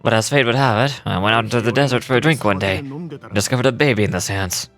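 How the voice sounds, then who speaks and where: A man's voice: mid-range voice, fast talker, lightly acted. An actor playing a doting, boastful older desert gang leader and adoptive father in a video game, reading out a letter with sighs. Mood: boastful, fond. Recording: music playing behind the voice. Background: game music.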